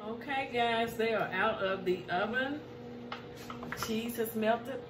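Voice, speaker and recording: A woman's voice: on the high side, slow pace. A middle-aged woman, chatting casually as she eats and hums over her food while hosting a home cooking show. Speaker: mukbang cooking show host. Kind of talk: casual chat while eating. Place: home kitchen, indoors. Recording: echoey room with faint hiss.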